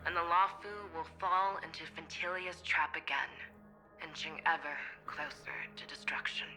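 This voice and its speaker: An actress playing a grave woman in a video game, warning in a hushed, foreboding and deliberate way. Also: low voice, fairly even intonation, faint music behind, low-quality recording. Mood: ominous. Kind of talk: dramatic game character acting.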